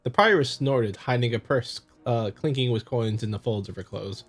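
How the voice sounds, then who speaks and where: A man with a mid-range voice presents a visual novel playthrough. He moves between narration and casual commentary, often halting and stumbling, over faint background music. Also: medium pitch.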